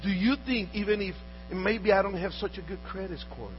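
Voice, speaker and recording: A man's voice: sits high, normal speed. A man from Honduras earnestly telling personal stories, often repeating words and restarting as he talks. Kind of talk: storytelling talk. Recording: dull audio with faint mains hum.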